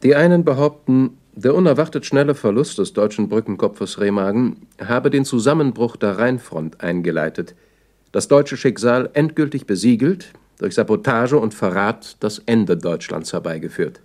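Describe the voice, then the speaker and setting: Sober male chronicler, medium pitch. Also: expressive, moderate pace, darkish tone. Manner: sober, formal announcing. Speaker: radio play narrator. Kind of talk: scripted chronicle narration, 1960s German radio.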